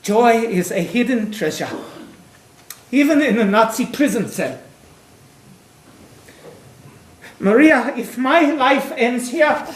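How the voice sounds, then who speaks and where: Actor playing a slow, wistful, devout man, echoey stage. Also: extremely high pitch, animated. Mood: earnest, loving. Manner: dreamy, measured, dramatic storytelling. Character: devout, wistful imprisoned German man in love, recalling his youth. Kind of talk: theatrical stage-play monologue and dialogue. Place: stage, recorded with room echo. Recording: echoey stage with background noise and clicks.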